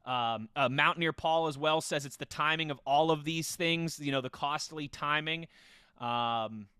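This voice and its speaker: A man's voice: a little high, normal speed, pushed and bright, smooth, no echo, clean studio sound. A man hosting a casual sports podcast, talking conversationally. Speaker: podcast host.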